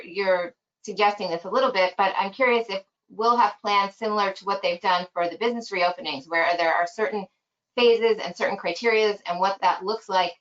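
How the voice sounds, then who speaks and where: A woman's voice: mid-range voice, a bit bright, muffled recording. A woman who chairs a school board, asking a long, thoughtful question in an online meeting and thinking aloud as she goes.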